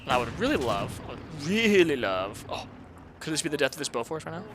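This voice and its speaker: A man casually commentating a strategy game replay, excitable and laughing often, talking to his chat, his voice a bit hoarse. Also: fairly high pitch, quick pace, background noise. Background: video game gunfire and artillery.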